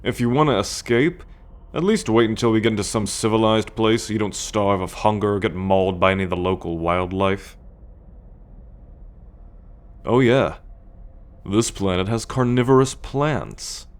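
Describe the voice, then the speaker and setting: A man playing a sardonic, coolly possessive male alien captor in an ASMR roleplay, speaking deliberately with a teasing condescension. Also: fairly low pitch, lightly acted. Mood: dry warning. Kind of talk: ASMR roleplay monologue addressed to the listener.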